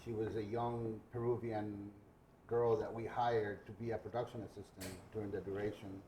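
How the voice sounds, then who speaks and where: A man's voice: fairly low pitch, flat delivery, moderate pace, warm tone, roomy sound, a little hiss or hum, rough recording. A man from Guatemala hosting a podcast, chatting casually and telling anecdotes. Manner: conversational, tells anecdotes. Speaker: podcast host. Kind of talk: casual interview chat.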